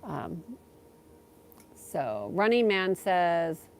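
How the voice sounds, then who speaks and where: A woman with a slightly low voice talks casually as a livestream host. She speaks haltingly, thinking aloud as she goes, in the informal way of a spiritual guide fielding questions from viewers. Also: big pitch swings, darkish tone.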